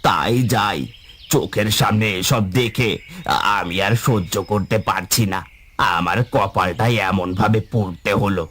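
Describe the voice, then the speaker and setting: A man's voice: lowish voice, a little slow, fairly bright tone, breathy edge. A man playing a weary, worried village farmer and husband in a cartoon, with a plaintive manner. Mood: despairing. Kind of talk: cartoon voice acting.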